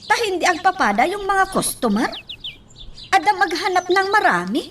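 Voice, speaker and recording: Coy, very high-voiced young shop girl. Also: sing-song, normal speed, a bit bright, a little hiss or hum, exaggerated voice. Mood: coaxing, chirpy. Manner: coaxing, coy, mixes Tagalog and Ilocano. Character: sweet, coy young female shop worker, chatty. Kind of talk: Ilocano radio comedy-romance acting.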